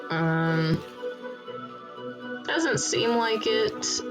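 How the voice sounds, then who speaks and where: A woman streaming a game in a relaxed, rambling chat, with a froggy morning voice and frequent laughter. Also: fairly high pitch, very slow. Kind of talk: casual live-stream chat while gaming. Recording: loud background music over the voice.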